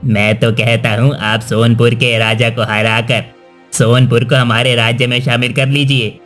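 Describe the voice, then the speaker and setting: A man's voice: medium pitch, level tone, measured pace, a bit bright, soft background music, lightly acted. A man playing a deferential royal minister in a cartoon, respectful and formal. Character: deferential royal minister advising his king. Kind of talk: cartoon dubbing.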